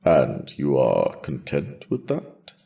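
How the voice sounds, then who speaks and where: A man voicing a wise, steady dragon in a fantasy audio drama, speaking in a measured, gently probing way. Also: a bass voice, vocal fry, voice pushed down, heavily acted. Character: wise, steady male dragon, bonded to his rider.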